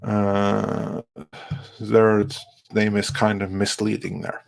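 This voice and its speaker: A man hosting an online show in casual chat, speaking haltingly, repeating words as he goes and reading out messages. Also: lowish voice, completely flat delivery, vocal fry.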